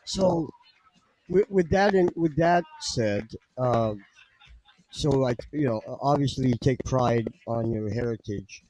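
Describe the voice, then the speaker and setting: A man's voice: mid-range voice, measured pace, a little mellow, dry recording, low-quality recording. A man hosting a casual interview, asking loose, laid-back questions and often saying "right". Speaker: podcast host.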